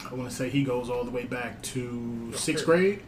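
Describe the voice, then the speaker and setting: A man in his thirties guesting on a podcast, telling stories in a relaxed, slangy way. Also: mid-range voice, moderate pace, a crowd in the background. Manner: easygoing, slangy storyteller. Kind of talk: casual podcast chat.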